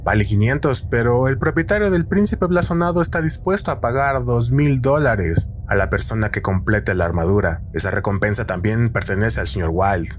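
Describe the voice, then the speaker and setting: A man's voice: medium pitch, lively intonation, moderate pace, hardly any pauses, lightly acted. An earnest male tradesman acted by a man reading aloud speaks with polite, probing insistence. The storybook reading has some music behind it.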